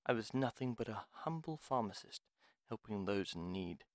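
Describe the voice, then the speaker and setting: A man playing a gruff, wary retired pharmacist in a fantasy audio roleplay, speaking in a formal, deliberate, theatrical way. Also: on the low side, animated, no echo, clean studio sound, natural voice. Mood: solemn, reminiscing. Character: gruff, reluctant retired male pharmacist, courteous but wary. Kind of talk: dramatic audio roleplay monologue.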